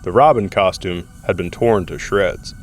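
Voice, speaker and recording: A man's voice: sits low, moderate pace, slight background noise. A man serving as narrator, reading scripted dramatic story narration.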